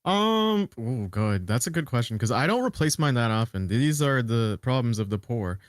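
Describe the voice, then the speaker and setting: A man's voice: medium pitch, animated, moderate pace, no echo. A man chatting casually as a podcast co-host, joking in a wry, slangy way with some swearing.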